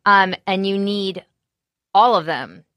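Bright, loud-voiced woman chef. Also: medium pitch, slow, no room sound, clean studio sound. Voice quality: naturally loud voice. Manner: animated, candid, many fillers. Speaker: executive chef. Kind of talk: casual interview conversation.

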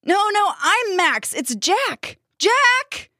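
A woman's voice: on the high side, moderate pace, no room sound, voice pushed up, natural voice. Boy hero, read by a woman: earnest, protective, unsure but determined, storybook style, dry sound. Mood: frantic.

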